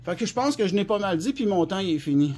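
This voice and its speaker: A man with a Quebec French accent and a husky voice gives an informal, colloquial product demonstration, explaining in a rambling, hands-on way. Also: high-pitched, expressive, quick pace, darkish tone. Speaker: CB radio specialist and seller presenting products.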